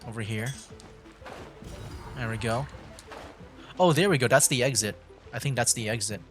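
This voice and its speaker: A man's voice: medium pitch, fairly bright tone, soft background music. Man thinking aloud over a game. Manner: thinking aloud, halting restarts while solving puzzles. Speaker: streamer. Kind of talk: casual gameplay commentary.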